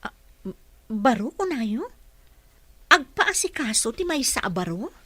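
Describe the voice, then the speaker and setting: An actress playing a gentle, earnest young single mother looking for work in an audio drama, speaking politely. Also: fairly high pitch, big pitch swings, measured pace, breathy edge, no echo, exaggerated voice. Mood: polite, curious.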